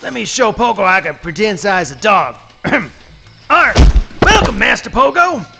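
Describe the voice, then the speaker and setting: A man playing a blustering, boastful alligator in a live comic radio play, with a gravelly voice and folksy Southern swamp dialect. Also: high voice, very animated, measured pace, pushed and bright, soft background music, heavily acted. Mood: playful, pleading. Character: blustering, boastful adult male cartoon alligator playing sheriff.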